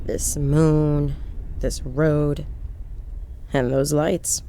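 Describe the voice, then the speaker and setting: A woman's voice: low-pitched, level tone, very slow, mellow, slight background noise, full character voice. An actress playing a lonely woman driving at night, confiding in a wry, digressive monologue for an audio horror drama. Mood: wistful, wondering. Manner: wry, digressive, musing, breaking off into asides. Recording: music under the voice.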